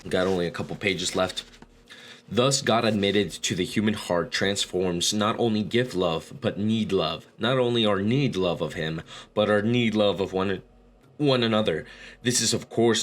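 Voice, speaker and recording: Low-voiced man reading aloud, casual asides. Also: fairly bright tone. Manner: profane, informal asides with bro slang. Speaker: book read-along host. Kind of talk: reading a book aloud with casual, slangy commentary.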